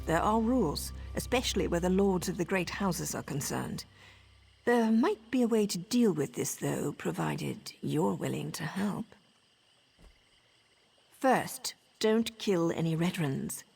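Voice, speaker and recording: Wry assassin, low and creaky. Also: female voice, lively intonation, a little mellow, exaggerated voice. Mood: businesslike. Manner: wry, measured, self-assured. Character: poised professional female assassin, wry, cool, mentor. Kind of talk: video game dialogue.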